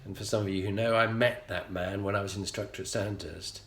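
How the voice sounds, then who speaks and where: A middle-aged man with a Yorkshire accent, telling a personal story as a show host in an earnest, measured way with frequent pauses. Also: lowish voice, steady tone, a bit bright, slight background noise. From England. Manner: earnest, measured, pausing often. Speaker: leadership coach and show host. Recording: echoey room.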